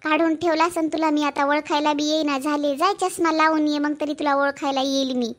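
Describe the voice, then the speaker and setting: A woman voicing a village woman who teases an old friend in slow, drawn-out speech. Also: high-pitched, level tone, lightly acted. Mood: teasing.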